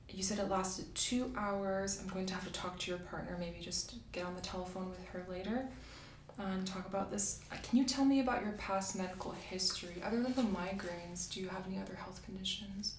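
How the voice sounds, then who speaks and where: A soft-spoken woman doing an ASMR medical roleplay as a doctor, asking methodical questions in a reassuring way. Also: medium pitch, fairly even intonation, brisk. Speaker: ASMR creator playing a doctor. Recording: roomy, distant mic, faint hiss.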